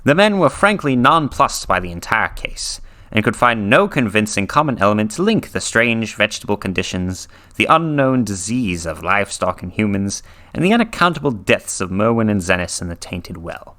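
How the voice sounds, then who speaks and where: Male narrator, fairly low pitch, for dramatic horror fiction read-through; theatrical delivery with hushed, breathy dips. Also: very animated, moderate pace. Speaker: reader.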